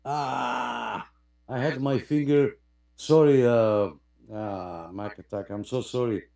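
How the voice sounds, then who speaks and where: A man with a mellow, relaxed voice hosts a casual radio club livestream. He speaks in a halting way as he greets listeners and reads comments aloud. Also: medium pitch, vocal fry. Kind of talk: casual chat, greeting participants and reading out comments.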